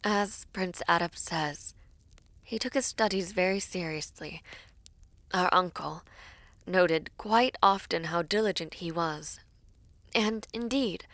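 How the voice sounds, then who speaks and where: A woman playing a composed, loyal young princess in an audio drama, speaking in a measured, formal and courtly way. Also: fairly low pitch, fairly bright tone, no echo. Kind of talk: dramatic audio roleplay acting.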